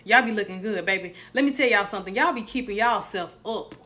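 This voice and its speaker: A woman's voice: mid-range voice, fast. A woman, hosting a casual live stream, reading out viewer comments and hyping up her chat. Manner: reads out viewer comments and hypes the chat, animated and playful.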